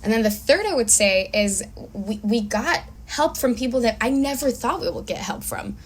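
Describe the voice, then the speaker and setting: A woman's voice: a little high, animated, normal speed, husky. A woman speaking as a filmmaker guest in a casual interview, enthusiastic and informal, telling things through quoted dialogue.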